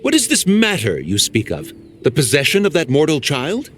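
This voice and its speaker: An actor playing a formal, stately immortal with archaic phrasing in a video game. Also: male voice, a little high, wildly swooping pitch, moderate pace, fairly bright tone, faint music behind. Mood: puzzled.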